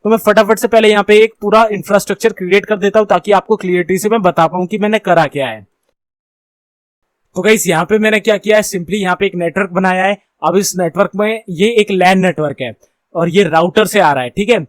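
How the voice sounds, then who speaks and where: A man's voice: high-pitched, a little fast, no echo, clean studio sound. A man with an Indian accent giving an informal technical lesson, explaining things and mixing in English terms. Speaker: tutorial instructor.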